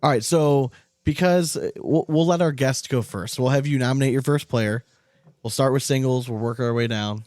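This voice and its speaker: A man with a mid-pitched voice talks sports as a podcast host in casual, slangy banter. He is blunt and loose with his words, recorded close and dry. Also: normal speed, darkish tone, no room sound.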